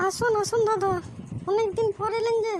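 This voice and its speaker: A woman's voice: very high-pitched, flat delivery, normal speed. A woman voicing a pushy, coaxing female hustler who drives hard bargains in a crude comedy cartoon. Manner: coaxing, businesslike, insistent. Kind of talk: amateur comic cartoon dubbing. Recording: noisy amateur cartoon dub.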